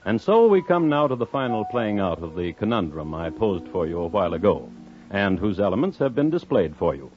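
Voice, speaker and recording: An actor playing a wry, formal storyteller, narrating a scripted 1950s radio crime drama in a measured, theatrical manner. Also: male voice, lowish voice, sing-song, faint music behind. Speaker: narrator host. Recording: muffled old radio recording.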